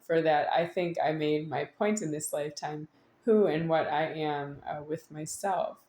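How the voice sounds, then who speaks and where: A woman speaks in a somewhat low register, with the room echoing around her. She delivers an unscripted, wandering monologue to an audience. Her phrasing halts and stretches, then circles back in insistent repetition. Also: fairly even intonation, measured pace, mellow. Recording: echoey room.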